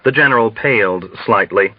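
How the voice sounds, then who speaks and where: A man's voice: on the low side, moderate pace, no room sound. A man reading a novel aloud as scripted audiobook narration. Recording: dull, dry, band-limited audio.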